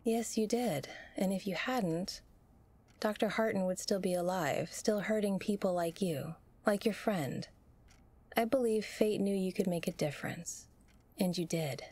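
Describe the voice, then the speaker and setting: An actress playing a calm, reassuring interviewer in an audio drama, gentle and measured. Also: female voice, medium pitch, close to an everyday voice. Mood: gentle conviction. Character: calm, reassuring female interviewer questioning a traumatized survivor.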